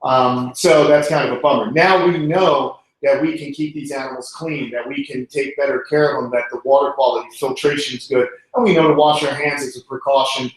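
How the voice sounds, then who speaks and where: A man's voice: medium pitch, fairly even intonation, a little fast, hardly any pauses. A strongly reverberant indoor room surrounds an American man with a mid-range voice. He hosts an animal live stream, talking in a loose, informal, chatty style as he answers questions. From Long Island, New York, United States. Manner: informal, chatty, calls people man and bud. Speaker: animal channel host.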